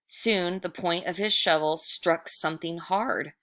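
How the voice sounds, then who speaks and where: A woman reading a children's story aloud with expressive, dramatic narration, stretching words for effect. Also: lowish voice, measured pace.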